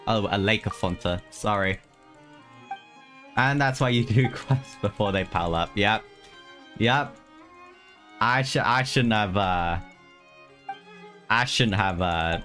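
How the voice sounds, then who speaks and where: A man's voice: on the low side, pushed and bright. A man giving casual, slangy live gameplay commentary as a streamer, grumbling and talking back to the game. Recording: game music behind the voice.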